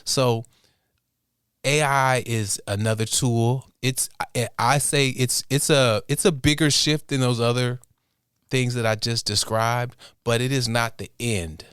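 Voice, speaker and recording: A man giving thoughtful interview answers as a guest, talking in a halting way as he thinks aloud. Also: medium pitch, fairly even intonation, fairly bright tone, clear, resonant tone, no room sound, clean studio sound. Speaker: interview guest, music producer and inventor.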